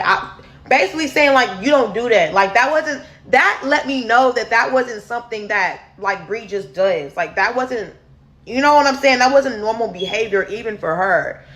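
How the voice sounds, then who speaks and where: A deep-voiced woman, candidly telling viewers a personal story in a casual storytime vlog. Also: fairly bright tone. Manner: candid, chatty, addresses viewers as y'all. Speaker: storyteller host.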